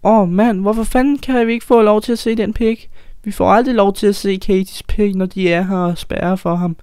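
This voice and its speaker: Man putting on a high-pitched, whiny young anime girl. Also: steady tone, darkish tone, clear, resonant tone, no echo, studio quality. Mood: whiny, frustrated. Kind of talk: amateur comedic anime fan dub.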